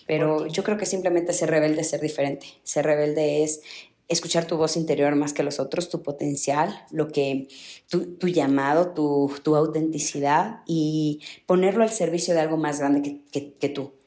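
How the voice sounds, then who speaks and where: A woman's voice: low voice, fairly even intonation, moderate pace, dark tone, roomy sound. A young woman from Ecuador giving an earnest motivational talk, repeating phrases for emphasis. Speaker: motivational speaker.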